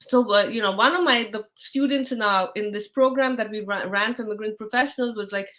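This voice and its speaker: A woman's voice: mid-range voice, a little fast, a bit bright. A middle-aged woman from India with an Indian accent, hosting a live online interview in a chatty way, full of fillers and restarts. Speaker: host.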